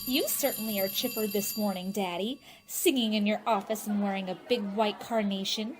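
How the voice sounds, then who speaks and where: A woman's voice: medium pitch, moderate pace, natural voice. A woman playing a chipper, proper teenage daughter in a radio sitcom. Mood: bright, teasing. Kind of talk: old-time radio sitcom acting. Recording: faint background hiss.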